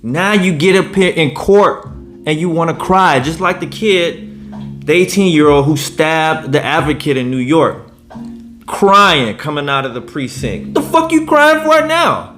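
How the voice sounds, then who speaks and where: Blunt, slow-talking man in his thirties. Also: a little high, very animated, a bit bright, faint music behind. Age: 30s. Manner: blunt, profane, indignant. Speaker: commentary vlogger. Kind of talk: reaction commentary.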